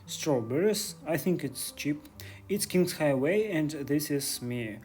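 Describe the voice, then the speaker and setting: Man in his thirties, Russian accent, curious and chatty in broken English, slightly high voice, phone-vlogging in stores. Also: lively intonation, slow, a little mellow, slightly rough, faint music behind. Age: 30s. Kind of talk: casual walking vlog. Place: stores in the city.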